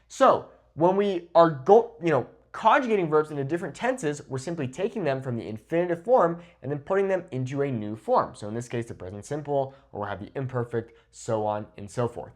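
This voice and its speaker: A man's voice: a little high, animated, a little fast, hardly any pauses. A man in his thirties giving an instructional language lesson to camera in an emphatic, didactic way, checking in often with the listener. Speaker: Spanish teacher. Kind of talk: instructional lesson to camera, switching between English and Spanish examples. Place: indoors, in front of a whiteboard. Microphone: lapel mic clipped to the shirt.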